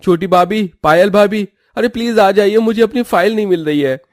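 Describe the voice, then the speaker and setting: High-voiced, polite, flustered young man by an actor. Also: moderate pace, no room sound, clean studio sound, natural voice. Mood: flustered, pleading. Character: flustered, polite young brother-in-law, an office worker. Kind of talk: Hindi cartoon story acting.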